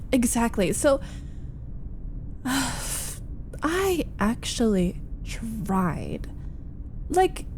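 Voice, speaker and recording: A woman voicing a flustered, needy young roommate in a chatty, halting, defensive roleplay audio, confiding as she vents. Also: fairly high pitch, darkish tone, slight background noise, heavily acted. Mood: exasperated. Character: flustered, needy young female roommate venting about a date. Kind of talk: roleplay ASMR audio acting.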